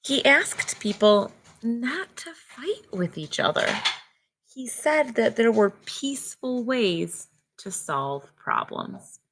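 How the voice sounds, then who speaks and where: A woman reading a picture book aloud to children like a teacher, pausing to explain things in simple words. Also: fairly high pitch, sing-song, fairly bright tone, slight background noise, rough recording. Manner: simple, child-directed explaining with short phrases. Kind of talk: read-aloud storytelling with simple explanatory asides.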